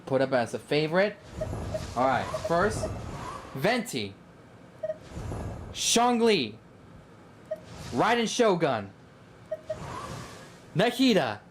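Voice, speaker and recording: A man's voice: a little high, very animated, takes it slowly, pauses often, background noise. A young man with an enthusiastic, easily delighted manner, giving casual live commentary as he plays a game.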